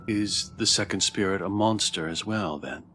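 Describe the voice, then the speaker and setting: A man's voice: sits low, normal speed, bright, forceful tone, a little hiss or hum. A man streaming a game, reading dialogue aloud and adding casual asides.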